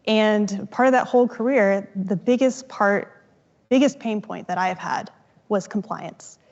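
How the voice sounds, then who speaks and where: A woman co-founder giving an upbeat, emphatic startup pitch on stage. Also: measured pace, a little mellow, highs cut off.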